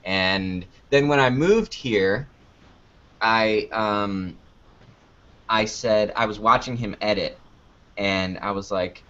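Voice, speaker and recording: A man's voice: fairly low pitch, slow, pauses often, fairly bright tone. A man giving casual interview answers in a joking, anecdotal way, acting out little exchanges as he goes. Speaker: podcast producer and video creator being interviewed. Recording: dull lo-fi audio.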